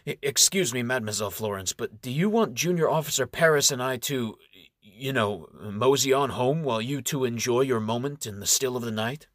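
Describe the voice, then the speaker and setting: A man's voice: mid-range voice, fairly quick, a bit bright, dry recording, clean studio sound, natural voice. Brief: man playing a deferential officer, hesitant, plain middle voice. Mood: meek. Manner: hesitant, polite. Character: timid male town officer, deferential.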